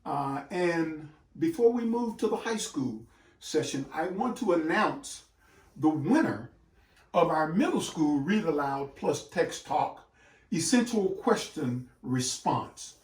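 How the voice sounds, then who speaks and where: Echoey, emphatic man with a high-pitched voice. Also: animated. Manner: emphatic, deliberate phrasing with dramatic pauses. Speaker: literacy educator hosting a read-aloud session for parents. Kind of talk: instructional presentation, speech-like address to parents. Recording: echoey room, distant microphone.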